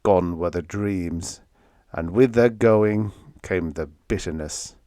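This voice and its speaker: A man reading scripted story narration for an audiobook in an expressive, dramatic manner. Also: sits low, slow pace, no room sound, studio quality.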